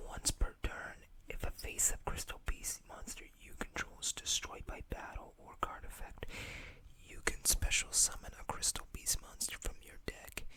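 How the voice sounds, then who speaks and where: An ASMR host speaking in a breathy whisper, reading card text aloud with casual asides in a hushed, deliberate way.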